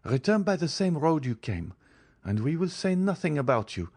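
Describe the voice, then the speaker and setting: A man's voice: very animated, normal speed, darkish tone. A man voicing two frightened young women who cry for help and then answer coldly. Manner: shrill cries, then cold.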